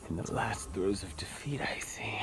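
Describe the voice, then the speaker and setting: An actor playing a frail, brooding young poet in a video game, speaking in a solemn, measured, literary way. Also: male voice, on the low side, lively intonation, whispered. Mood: weary resolve. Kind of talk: dramatic video game voice acting.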